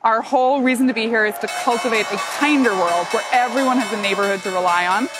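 Fast-talking woman spokesperson over music. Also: on the high side. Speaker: company spokesperson.

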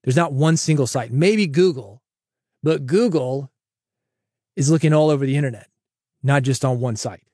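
A man's voice: medium pitch, normal speed, long pauses, a little mellow, husky, dry recording, studio quality. A man hosting his own solo podcast, talking casually and coaching listeners, often calling them "man". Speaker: podcast host.

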